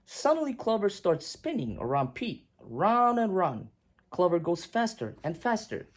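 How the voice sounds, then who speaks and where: Lady reading a kids book out loud, lowish husky voice, really expressive. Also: a little slow. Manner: expressive, with emphatic swings and hushed drops. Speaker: storyteller reading aloud. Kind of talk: animated children's picture-book read-aloud.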